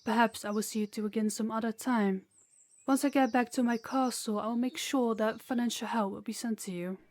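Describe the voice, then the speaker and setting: A woman's voice: on the high side, steady tone, no room sound, lightly acted. Woman acting as an earnest, gracious fantasy queen. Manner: formal, measured, sincere. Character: earnest, remorseful queen of a kingdom, gracious and formal. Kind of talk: scripted fantasy audio roleplay.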